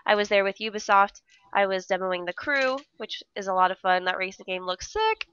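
A woman's voice: mid-range voice, expressive, fairly quick, fairly bright tone, clear, resonant tone, lo-fi sound. A young woman co-hosting a casual live stream, upbeat and enthusiastic, sounding stuffy from a cold, on a headset mic. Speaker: co-host of a game dev stream.

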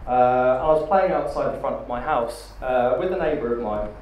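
A British man in his thirties, giving a quick, joking best man speech into a handheld mic. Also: medium pitch, a little mellow. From Britain. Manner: quick, joking, chuckling between lines. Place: large wood-panelled banquet hall, indoors. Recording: very echoey hall with background noise.

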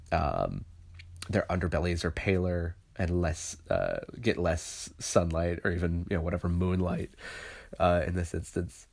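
Guy with a real low voice and a stuffy cold, rambling through a painting tutorial, lots of uhs. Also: vocal fry. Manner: thinking aloud, loose and conversational with many fillers. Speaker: illustrator teaching digital painting. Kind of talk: relaxed tutorial voice-over while painting.

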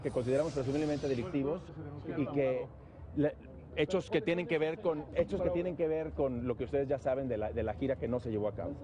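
A man's voice: medium pitch, noisy background. A man with a Mexican accent giving a careful, formal, legalistic statement to the press as a lawyer. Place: outdoors amid a crowd of reporters. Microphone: cluster of handheld press microphones. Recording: busy outdoor press scrum.

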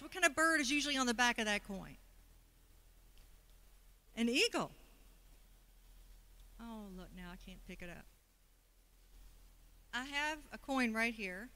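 A woman speaking to a congregation in a relaxed, conversational way. Her voice sits a little high and sounds bright and forward. She keeps asking her listeners questions as she goes. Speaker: speaker at a Sunday service. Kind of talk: sermon talk.

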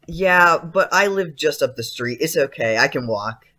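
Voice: mid-range voice, expressive, normal speed, sharp tone. An actor playing a polite, skeptical, uneasy schoolboy in an audio play, speaking hesitantly. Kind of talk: audio drama acting.

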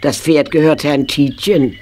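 Stern, low-voiced middle-aged wife. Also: female voice, steady tone, unhurried, dark tone. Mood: disapproving. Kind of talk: German children's audio play acting. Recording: noisy vintage audio play recording.

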